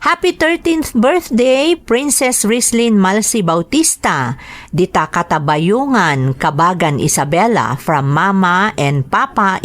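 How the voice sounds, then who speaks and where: Female host, Filipino accent, medium pitch, scripted announcer and storyteller delivery. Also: no echo. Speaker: radio drama host reading greetings and letters.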